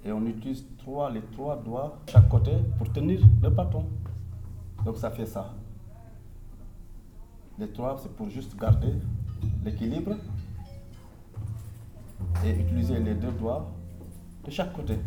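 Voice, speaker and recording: Man explaining music in a roomy space. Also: medium pitch. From Senegal. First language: Manding. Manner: patient step-by-step explaining, addressing the audience directly. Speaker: musician teaching an audience. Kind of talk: instrument demonstration workshop. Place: room with a live audience. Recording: roomy echo with plucked strings playing.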